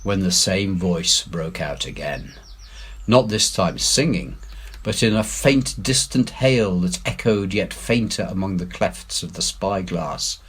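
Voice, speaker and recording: A man narrates an adventure tale with a husky, forceful voice. His delivery is slow, deliberate and dramatic. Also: deep voice, lively intonation. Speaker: story reader, head teacher. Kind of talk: expressive scripted narration of an adventure novel.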